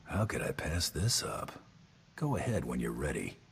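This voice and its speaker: A huge cocky merc dude in a game, super deep, flirty, few words, slight bg noise. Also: male voice, sing-song, normal speed, gravelly, exaggerated voice. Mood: protective. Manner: teasing, laconic. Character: big flirtatious tough male mercenary, cocky, protective. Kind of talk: video game voice acting.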